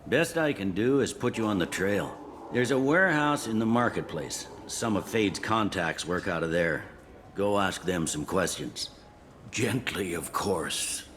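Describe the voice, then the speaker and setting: An actor playing a jaded police captain in a video game, blunt and wry. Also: male voice, sits low, expressive, a little slow, fairly bright tone, a little hiss or hum.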